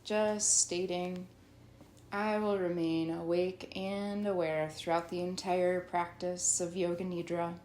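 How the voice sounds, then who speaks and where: A woman leading a guided yoga nidra meditation as a teacher, soothing and instructional, with long pauses. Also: on the low side, steady tone, darkish tone, reverberant, slight background noise.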